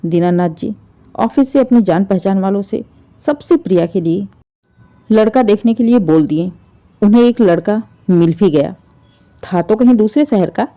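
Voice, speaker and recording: Female storyteller for sentimental family drama narration, mid-range voice, slightly dull sound. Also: mid-range voice.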